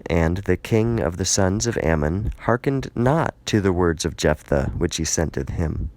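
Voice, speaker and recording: A man narrating scripture aloud in a measured, dramatic reading style, phrasing deliberately. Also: sits low, animated, a little mellow, no room sound.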